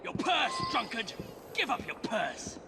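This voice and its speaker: An actor playing a belligerent, sneering Victorian street thug in a video game, rough and aggressive in manner. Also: male voice, extremely high pitch, huge pitch swings, a little slow, very noisy, full character voice. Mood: threatening. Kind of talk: video game cutscene acting.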